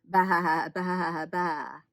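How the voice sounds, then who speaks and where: Woman making loud, drawn-out animal calls. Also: on the low side, steady tone, full character voice. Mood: placid. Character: storybook animals: mooing cows, roaring lions, bleating sheep.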